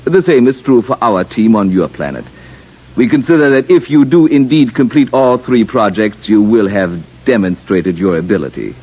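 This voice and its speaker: An actor playing a courteous, smugly confident alien official in an old radio drama, speaking stiffly and precisely without contractions. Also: male voice, on the low side, animated, normal speed, warm tone, slightly rough. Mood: formal, polite. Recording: muffled vintage radio broadcast.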